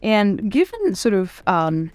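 A woman's voice: medium pitch, big pitch swings, slow, no echo. Woman, thirties, finance guest, thoughtful, hesitant fillers, dry close mic, faint music. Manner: thoughtful, many fillers and restarts. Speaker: private equity managing director, guest. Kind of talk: conversational interview. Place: studio set with city backdrop. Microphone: desk microphone.

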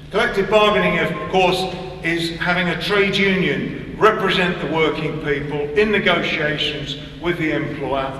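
A man giving a deliberate, emphatic public speech at a lectern on stage, pausing to stress his points. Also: on the high side, pushed and bright, a little hiss or hum. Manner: emphatic, deliberate, with measured pauses and repetition. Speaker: speaker at a political conference. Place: large hall on stage. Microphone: stand mic at a lectern, heard from a distance. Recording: echoey hall, distant mic.

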